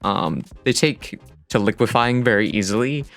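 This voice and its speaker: A man's voice: fairly low pitch, moderate pace, creaky, fairly bright tone. A man giving a casual, rambling tutorial voice-over in an informal, self-deprecating way. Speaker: digital artist narrating a dev vlog. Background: music.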